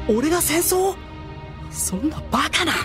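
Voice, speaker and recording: An actor playing a brash, naive noble teenage boy in an anime audio drama, talking in a rough, casual way. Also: high-pitched, lively intonation, normal speed. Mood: anxious, stunned. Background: music.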